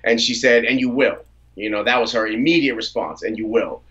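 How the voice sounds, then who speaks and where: A man in his thirties from the Washington DC area, a rapper telling relaxed stories about his family and career as a guest on a video-call interview. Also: medium pitch, brisk, lo-fi sound. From United States, Washington DC area. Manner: relaxed storyteller, laughs easily. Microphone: video call from a phone or laptop.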